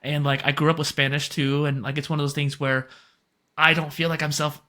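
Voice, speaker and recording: A man hosting a podcast, asking questions in a chatty, enthusiastic way with quick jokes. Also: a little high, little pitch movement, bright, forceful tone. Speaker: podcast host. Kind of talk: casual podcast conversation.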